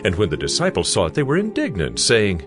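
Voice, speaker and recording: A male narrator reading scripture in a deliberate, expressive way. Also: on the low side, over music.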